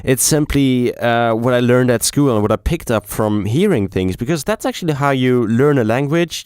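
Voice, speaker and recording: A man's voice: mid-range voice, a little mellow, smooth, no room sound, clean studio sound. Rambling young German-accented man vlogging. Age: young adult. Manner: rambling, many fillers and restarts. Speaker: YouTuber. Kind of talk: casual vlog chat.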